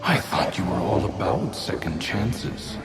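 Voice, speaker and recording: An actor playing a taunting, bitter spectre of a fallen prince in a video game, sardonic and theatrical. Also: male voice, deep voice, wildly swooping pitch, a little slow, exaggerated voice. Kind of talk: dramatic video game acting. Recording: loud music under the voice.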